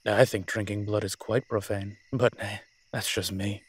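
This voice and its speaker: A man's voice: fairly low pitch, little pitch movement, normal speed, husky. A man playing a roguish incubus demon in a roleplay audio drama, talking in a sly, teasing, conversational way. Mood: wry, explaining. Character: smooth-talking roguish male incubus demon, playful and scheming.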